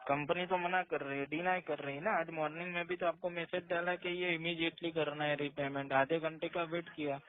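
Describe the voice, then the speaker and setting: A man with a noticeably high voice speaks as a loan collection agent. He explains in an insistent, repetitive way. The sound is narrow and tinny, as on a recorded phone call. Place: call centre, on a phone line.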